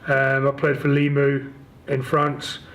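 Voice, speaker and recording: A man's voice: medium pitch, completely flat delivery, slow pace, creaky, a bit bright, slight background noise. A man in his forties with a Northern Irish accent, giving casual interview answers as a guest, with hesitant, stop-start phrasing. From Northern Ireland. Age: 40s. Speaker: interview guest, rugby league club chief executive.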